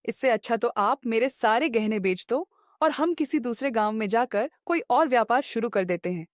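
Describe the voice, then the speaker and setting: Woman as a high-voiced, earnest village wife. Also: animated, moderate pace, dry recording, studio quality, natural voice. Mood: protective, firm. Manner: earnest, pleading, reproachful. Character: devout, worried, reproachful village wife and mother. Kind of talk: Hindi moral cartoon story acting.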